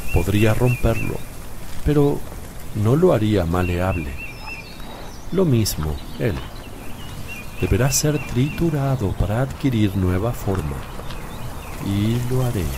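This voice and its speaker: An actor playing a calm, wise male teacher with gentle authority in a solemn religious radio play, speaking in a measured way. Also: on the low side, background noise. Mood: solemn, firm. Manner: measured, deliberate. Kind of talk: slow, solemn radio drama acting.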